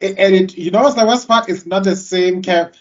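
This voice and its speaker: A man's voice: sits high, fairly quick, lo-fi sound. A man co-hosting a casual podcast, earnest and opinionated, explaining his views at length. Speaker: podcast co-host. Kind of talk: casual chat reviewing a cartoon.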